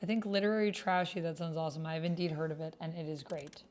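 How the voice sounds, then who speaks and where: A woman's voice: low voice, steady tone, quick pace, a little mellow. A woman hosting a casual livestream, chatting with viewers and half to herself as she reads out typed text. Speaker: livestream host and role-player. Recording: clearly noisy, keyboard clatter. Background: computer keyboard typing.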